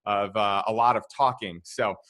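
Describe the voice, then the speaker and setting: A male podcast host with a raspy, worn-out voice, chatting enthusiastically and rambling a little. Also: fairly low pitch, fairly even intonation, moderate pace, fairly bright tone. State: raspy, tired voice. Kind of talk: casual interview chat.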